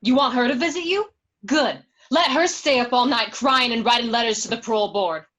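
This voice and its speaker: A high-pitched female voice , an actress in character as the wife of a jailed gangster. She is emotional and accusing, a wounded and angry woman in a crime radio drama. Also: brisk, fairly bright tone. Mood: accusing, bitter. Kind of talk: radio drama acting of a film script.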